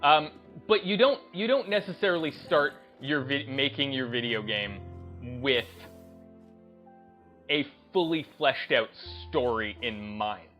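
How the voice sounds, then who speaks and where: A man giving off-the-cuff opinion commentary on a gaming channel, speaking in halting, emphatic short bursts. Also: mid-range voice, lively intonation, sharp tone, soft background music.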